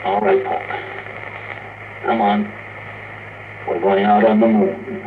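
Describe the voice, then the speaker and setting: Distant 1950s radio drama, practical spaceman, low pitch, terse, gruff but caring. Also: fairly even intonation. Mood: practical, concerned. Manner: terse, brisk orders. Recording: muffled, hissy 1950s radio recording, distant.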